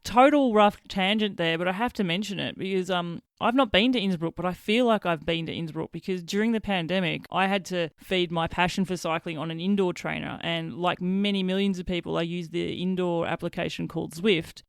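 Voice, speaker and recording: A woman's voice: lowish voice, fairly quick, few pauses, clear, resonant tone, dry recording, clean studio sound. A woman hosting a podcast interview, chatty and asking long, rambling questions. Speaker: podcast host. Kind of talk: casual interview chat.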